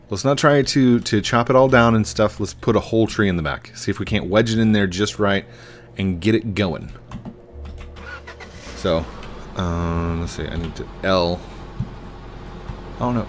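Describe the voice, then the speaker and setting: American guy middle aged, kinda deep voice, rambly goofy gaming commentary, noisy background. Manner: playful, rambling, talks to himself and viewers. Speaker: gaming video host. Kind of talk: casual gameplay commentary. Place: indoors at a desk, webcam stream setup.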